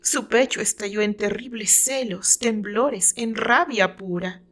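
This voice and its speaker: A woman's voice: medium pitch, unhurried, a bit bright. A woman with a Mexican accent reading fiction aloud as a dramatic narrator. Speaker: author narrating her own fiction.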